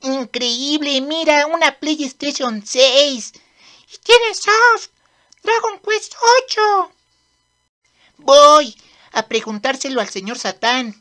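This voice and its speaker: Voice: high voice, expressive, takes it slowly, pushed and bright, full character voice. A performer voicing a cocky, bratty young demon prince in a manga dub, with a swaggering, curt manner. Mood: excited. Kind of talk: animated manga dub acting.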